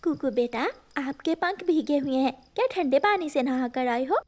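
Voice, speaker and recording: A tender mother sparrow from a cartoon, played by a woman. Her voice is high and expressive, with a gentle, anxious motherly manner. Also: moderate pace, slight background noise.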